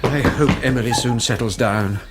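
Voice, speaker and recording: An actor playing a pompous, middle-aged Victorian gentleman father in a radio drama, formal and authoritative with an English accent. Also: medium pitch, normal speed, heavy background noise, close to an everyday voice. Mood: proud. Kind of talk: 1990s radio drama acting.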